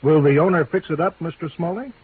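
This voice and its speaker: An actor playing a henpecked, quietly scheming husband in a 1950s radio drama, soothing, genteel and evasive. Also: male voice, a little high, fast, close to an everyday voice. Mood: placating. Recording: vintage radio, lo-fi narrow band, faint noise.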